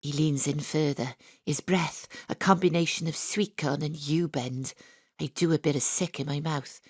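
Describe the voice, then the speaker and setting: An actress playing a wry, deadpan, sardonic female private detective in a noir audio drama. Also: low-pitched, normal speed, no room sound, clean studio sound. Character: hard-boiled wisecracking female private detective, sardonic and impatient. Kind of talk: first-person noir narration in an audio drama.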